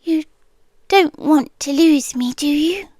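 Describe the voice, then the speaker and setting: A woman's voice: sits high, no room sound, studio quality. A British woman playing a centuries-old possessive siren girlfriend in an ASMR roleplay, tender yet menacing, often sinking into whispers. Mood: pleading, possessive. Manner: slow, hushed, dropping often into whispers. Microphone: close, dry microphone.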